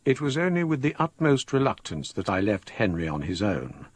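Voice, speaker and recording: An actor playing a scholarly English gentleman, recounting his own ghost story in a measured, literary manner for a radio drama. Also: fairly low pitch, big pitch swings, no room sound. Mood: grave, haunted. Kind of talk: radio drama first-person narration.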